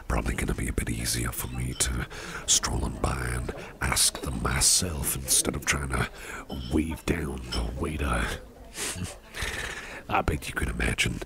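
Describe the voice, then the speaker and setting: A man playing a genial, courteous monster boss in an ASMR roleplay, speaking softly and intimately in breathy half-whispers with a chummy, flattering manner. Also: heavily acted. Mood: relaxed, friendly. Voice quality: very deep gravelly rumble, breathy half-whispers. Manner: unhurried, chummy, repeats phrases, chuckles. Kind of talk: ASMR roleplay, intimate soft speech to listener. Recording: restaurant crowd murmur behind. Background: restaurant chatter.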